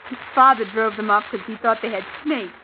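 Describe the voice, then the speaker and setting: A woman's voice: a little high, fairly quick, natural voice. A woman playing a polite, chatty young servant in an old radio drama. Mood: anxious. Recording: tinny, noisy old radio recording.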